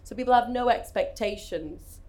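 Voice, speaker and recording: A woman's voice: a little high, normal speed, a little mellow. A woman from Puerto Rico, a guest at a live talk, chatting to the audience in a wry, joking way. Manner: wry, joking and self-deprecating. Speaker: panel guest.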